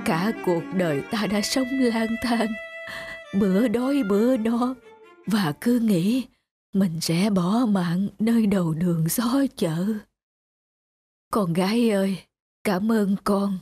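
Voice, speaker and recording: A frail dying old woman. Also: medium pitch. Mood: frail, tender. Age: older. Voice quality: weak, breathy and halting. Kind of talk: animated story voice acting. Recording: loud background music.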